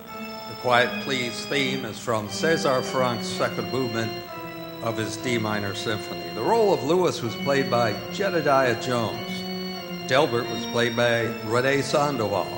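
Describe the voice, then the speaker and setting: A man hosting a radio theatre show, announcing in a formal, measured and ceremonious old-time style. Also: medium pitch. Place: performing arts centre stage before an audience. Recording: reverberant hall with music and audience.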